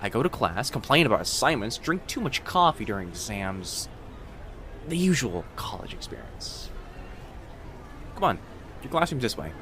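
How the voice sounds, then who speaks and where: A man voicing a friendly, gently teasing young fox-spirit college student in an immersive roleplay audio, speaking casually and reassuringly to the listener. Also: medium pitch, very animated, normal speed, long pauses, slight background noise. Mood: friendly, joking. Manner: soft-hearted, reassuring, casual conversational delivery. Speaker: voice actor.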